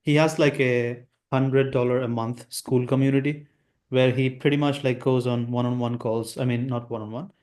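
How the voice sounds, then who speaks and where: A man's voice: medium pitch, steady tone, moderate pace, darkish tone. A man talking shop on a casual business call about his roofing agency. He hesitates often, trailing off with fillers and starting sentences over. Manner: hesitant, many fillers and restarts.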